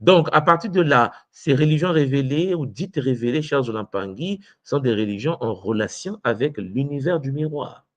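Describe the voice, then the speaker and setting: A man's voice: mid-range voice, expressive, normal speed, hardly any pauses, no room sound. A man of Congolese origin teaching his followers in a spiritual lecture, often hesitating and stopping to check that listeners follow. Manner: frequent hesitations and rhetorical check-ins.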